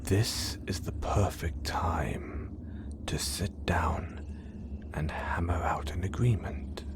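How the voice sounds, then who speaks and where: A deep-voiced, obsessive supervillain, acted by a man, who whispers close to the mic in a slow, hushed hiss. His manner is smooth and coaxing, with calm menace under every line. It fits an audio roleplay. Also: heavily acted. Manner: smooth, coaxing, quietly threatening.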